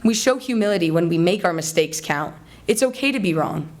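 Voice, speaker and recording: A young American woman delivering a rehearsed persuasive speech to an audience, in an earnest and deliberate rhetorical manner. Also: on the low side, animated. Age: young adult. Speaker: student speech contest finalist. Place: college hall, before a live audience.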